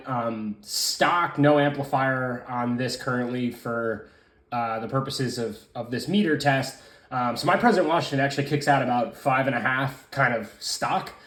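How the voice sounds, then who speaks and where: A man's voice: mid-range voice, a bit bright. Man in a roomy, echoing space, reviewing casually. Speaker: radio hobbyist reviewer. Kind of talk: casual hands-on product review. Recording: roomy, echoing room sound.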